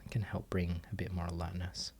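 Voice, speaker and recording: A man guiding a meditation as a teacher, giving gentle instructions with long pauses. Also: low-pitched, monotone, breathy edge. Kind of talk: guided meditation.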